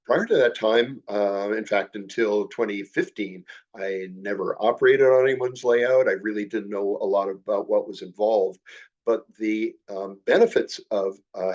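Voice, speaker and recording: A man's voice: fairly low pitch, a little mellow, lo-fi sound. An older man with a head cold, sounding a little nasal, giving a slideshow talk on model railroading with many uh and um fillers. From Canada. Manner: measured, frequent uh and um fillers. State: congested from a cold, slightly nasal. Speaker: model railroad clinic presenter.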